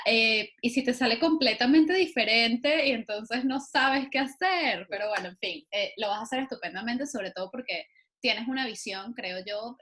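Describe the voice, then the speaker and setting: A woman's voice: a little high, fairly bright tone. A woman from Venezuela hosting an interview podcast, encouraging and musing aloud with fillers. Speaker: podcast host.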